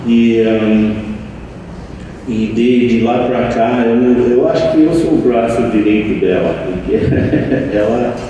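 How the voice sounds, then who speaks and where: Older man, slow and halting, echoey room. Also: medium pitch, dark tone, muffled recording. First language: not Portuguese. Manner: slow, halting, reflective, with many restarts. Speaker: meditation teacher and center leader. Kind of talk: anniversary speech to an audience. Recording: echoey room, distant mic, faint noise.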